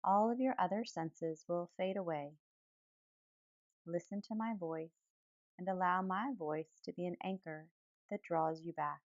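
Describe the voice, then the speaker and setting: A woman guiding a yoga nidra meditation in calm, gentle phrases with pauses between them. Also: fairly low pitch, no room sound, lo-fi sound. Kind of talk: guided relaxation meditation, soothing instruction.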